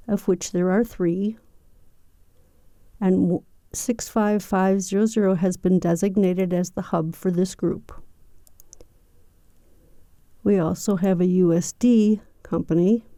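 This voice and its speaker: A woman giving an unscripted, step-by-step software walkthrough in a methodical, explanatory manner. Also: medium pitch, unhurried, no echo, clean studio sound. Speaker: software tutorial presenter.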